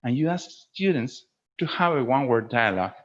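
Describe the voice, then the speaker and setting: A man speaking as a teacher trainer in an informal instructional webinar, explaining in an animated way and often checking that listeners follow. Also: medium pitch, brisk. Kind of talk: informal instructional presentation with acted demonstrations. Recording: dull lo-fi webinar audio.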